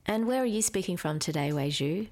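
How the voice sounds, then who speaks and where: A woman hosts a podcast interview in a slightly low voice. She is polite and well prepared, guiding her guest with clear questions. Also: lively intonation, brisk, a little mellow. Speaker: podcast host. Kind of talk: hosted interview.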